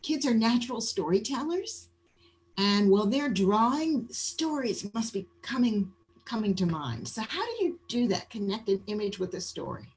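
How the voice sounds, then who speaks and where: A woman's voice: fairly high pitch, big pitch swings, moderate pace. A woman casually hosting an art session, prompting and affirming in an encouraging way. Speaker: host of a children's art session.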